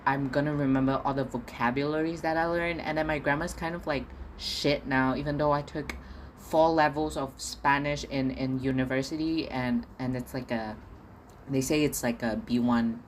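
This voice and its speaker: A man's voice: on the high side, slight background noise. A young man from Singapore, talking alone in a casual podcast diary at home, ranting and swearing with stammered repeats. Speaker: podcast host.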